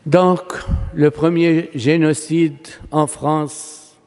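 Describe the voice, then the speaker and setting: A Palestinian man, a native Arabic speaker with a Palestinian Arabic accent, preaching a sermon as a priest in an emphatic, oratorical manner with rhetorical questions and pauses. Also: a little high, level tone, darkish tone, clear, resonant tone. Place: large church, preaching to a congregation. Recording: long reverberant church hall echo.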